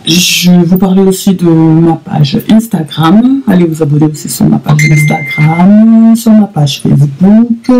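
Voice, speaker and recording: A woman in her thirties talking casually to camera in a vlog, halting with many fillers and repeats. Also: fairly low pitch, gravelly, mellow, slightly rough, low-quality recording. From Congo (DRC). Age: 30s. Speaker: vlogger.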